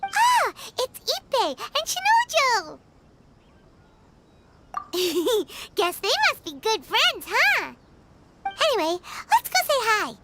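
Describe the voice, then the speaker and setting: A woman voicing a small, chirpy, eager fairy companion girl in a video game, squeaky and childlike, bubbly and full of exclamations. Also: huge pitch swings, slow pace, long pauses, very noisy, exaggerated voice. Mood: curious, cheerful. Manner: bubbly, exclaiming, speaks of self in third person. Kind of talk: animated game voice acting.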